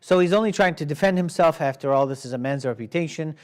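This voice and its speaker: A man's voice: on the high side, quick pace, a little mellow. A man whose first language is Arabic, firmly moderating and then arguing in a debate. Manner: firm, steering the talk.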